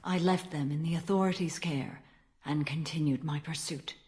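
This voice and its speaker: An actress playing a stern, ancient alien warrior-monk in a video game, speaking in a formal, measured and solemn manner. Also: female voice, low voice, steady tone, darkish tone, close to an everyday voice. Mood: solemn recollection. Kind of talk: dramatic video game dialogue.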